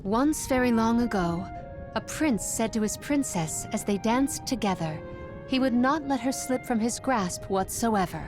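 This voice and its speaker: Game casting: a composed young scholar-poet, high voice, formal and measured, music underneath. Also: female voice, expressive. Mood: reciting solemnly. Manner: formal, measured, precise wording. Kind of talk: video game voice acting.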